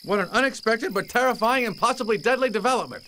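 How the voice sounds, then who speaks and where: An actor playing a young worker bee, a wry and earnest reluctant hero in an animated video game. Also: male voice, very high-pitched, fast, heavy background noise. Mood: incredulous. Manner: wry, incredulous.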